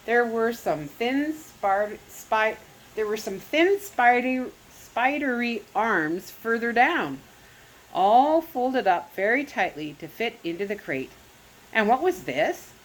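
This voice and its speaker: A woman reads a children's adventure story aloud, her voice rising and falling in a lively, sing-song way. Her pitch sits mid-range for a woman. A faint hiss runs underneath the recording. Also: low-quality recording. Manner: expressive read-aloud with lively rises on exclamations; occasional self-corrections. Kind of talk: reading a children's chapter book aloud.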